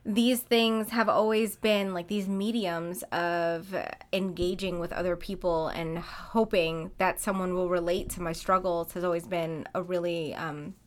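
A woman's voice: on the low side, steady tone, measured pace, hardly any pauses. A woman co-hosting a home podcast in casual chat, chatty and self-mocking, recorded on a shared desk mic. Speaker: podcast co-host. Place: home room, sitting opposite her partner. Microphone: shared USB desk mic, bidirectional.

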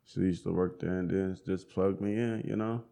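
A young man in his twenties with a fairly low voice chats easily in an interview. He talks in loose slang, with plenty of fillers and restarts. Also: fairly even intonation, moderate pace, creaky, mellow. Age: 20s. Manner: laid-back slang, many fillers and restarts. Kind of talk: casual conversation.

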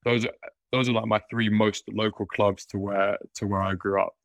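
A man's voice: on the low side, level tone, normal speed, vocal fry, no echo. A man from London with a London accent, a podcast guest talking casually, with many restarts and fillers. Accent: London English. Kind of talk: casual interview chat.

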